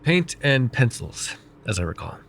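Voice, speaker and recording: A man voicing a vain, wry, middle-aged male mage who counsels a student in an ASMR roleplay, speaking in a calm, measured and gently teasing way. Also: medium pitch, slight background noise. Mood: calm reassuring. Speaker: voice actor.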